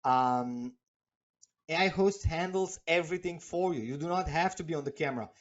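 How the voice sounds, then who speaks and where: A man's voice: fairly high pitch, normal speed, lo-fi sound. A man for whom English is a second language, hosting a live sales webinar in a chatty, persuasive way, full of fillers and "okay?" checks. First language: not English. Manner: chatty and persuasive, reads names from chat, many fillers and tag questions. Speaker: webinar host selling software.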